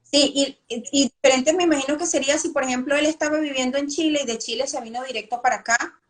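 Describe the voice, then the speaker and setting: A woman with a very high voice hosts a live interview. She asks long, winding questions, circling back and filling pauses as she goes. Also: steady tone. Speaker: host.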